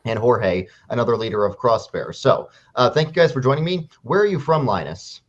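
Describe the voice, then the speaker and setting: A man's voice: medium pitch, lively intonation, a little fast, lo-fi sound. A male YouTube host introduces his guests and asks them questions in an upbeat, affirming way. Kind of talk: hosting an online interview.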